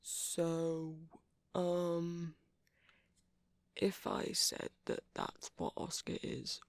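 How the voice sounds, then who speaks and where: A soft-spoken man doing a rambling ASMR roleplay as a shopkeeper, hesitant and apologetic in manner. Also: a little high, very animated, very slow, vocal fry, no room sound, studio quality. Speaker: character performer playing a shopkeeper.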